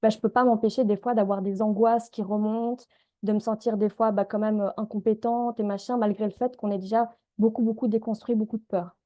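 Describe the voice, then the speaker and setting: A woman, an energy healer and coach leading a calm group healing session, speaking gently with frequent hesitations. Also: mid-range voice, a little fast, dull audio. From Switzerland. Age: 30s. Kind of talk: live group healing session and guided prayer.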